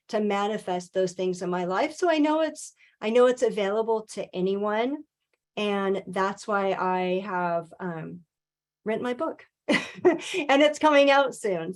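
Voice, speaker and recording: A woman speaking as a guest in a webinar interview, talking calmly and earnestly in a coaching tone, with the odd "um". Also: mid-range voice. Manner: calm, earnest, coaching tone with filler ums. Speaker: guest speaker, author and financial advisor. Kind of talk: webinar interview, motivational talk.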